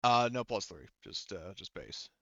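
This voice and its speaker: Bright, halting male game master, slightly dull audio. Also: deep voice, lively intonation, gravelly, dry recording. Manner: halting, rambling, conversational. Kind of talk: casual improvised tabletop game narration.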